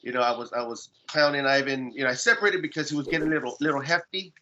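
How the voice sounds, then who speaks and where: A man's voice: mid-range voice, steady tone, racing, pushed and bright, lo-fi sound, a little hiss or hum. A man in his late forties co-hosting a podcast, joking and teasing in casual chat. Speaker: podcast co-host.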